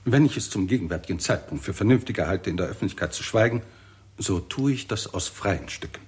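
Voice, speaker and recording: Tired grumpy scientist in old german radio drama, husky voice, gloomy and sarcastic. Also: male voice, deep voice, expressive, brisk, darkish tone, exaggerated voice. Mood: weary, curt. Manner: articulate, sardonic, argumentative. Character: weary, irritable, pessimistic male scientist, blunt and proud.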